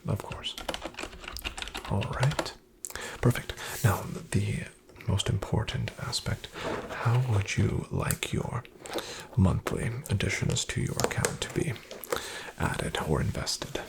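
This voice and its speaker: Whispering, creaky low-voiced man, ASMR advisor. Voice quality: soft, breathy, mostly whispered. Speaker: ASMR roleplayer playing a financial investment advisor. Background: computer keyboard typing.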